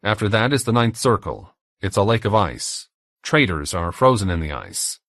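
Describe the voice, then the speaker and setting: Male reader as defiant, matter-of-fact American hero of an audiobook, animated pitch, very dry sound. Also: normal speed, no echo. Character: weary, defiant educated American man in hell.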